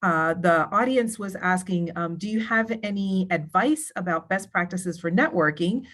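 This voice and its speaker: A woman's voice: lowish voice, normal speed, a bit bright. A woman moderating a panel discussion, politely passing along audience questions.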